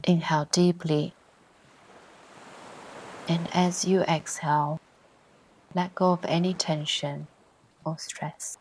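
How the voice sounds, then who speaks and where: A woman leads a guided meditation in a low, even voice. Her delivery is very slow and gentle, with long pauses between phrases. Soft ocean surf sounds sit behind her words. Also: slight background noise, rough recording. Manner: soothing, gentle, unhurried instruction with long pauses. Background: ocean waves ambience.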